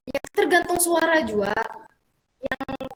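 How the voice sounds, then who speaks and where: High-voiced young woman with Banjar accent. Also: expressive, slow pace, roomy sound, faint music behind, rough recording. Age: young adult. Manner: casual, hesitant, mixing in local words. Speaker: student presenter. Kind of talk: classroom discussion.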